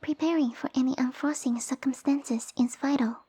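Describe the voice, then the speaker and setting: A woman voicing a small, sassy female lamia who rules her village, speaking softly and breathily with formal, imperious phrasing in an ASMR roleplay. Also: high-pitched, steady tone, brisk, no echo. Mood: stately, concerned. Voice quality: soft, breathy, whispery. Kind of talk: ASMR roleplay audio addressed to the listener.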